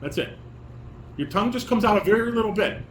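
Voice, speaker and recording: Middle-aged guy walking people through a script, higher voice that swings around a lot, bit noisy room. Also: expressive, quick pace. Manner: instructive, demonstrates and corrects step by step. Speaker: father directing a family table read. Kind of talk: casual coaching, reading stage directions aloud. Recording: roomy, echoey home recording with background noise.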